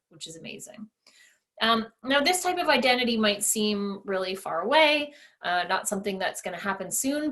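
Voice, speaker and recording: A woman's voice: medium pitch, a bit bright. A woman giving a presentation, explaining things with enthusiasm. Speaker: conference speaker. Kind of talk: presentation talk.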